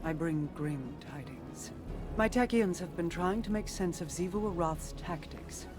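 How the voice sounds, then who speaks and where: A woman playing a grave, commanding alien leader in a video game, solemn, deliberate and formal. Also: lowish voice, over music, natural voice.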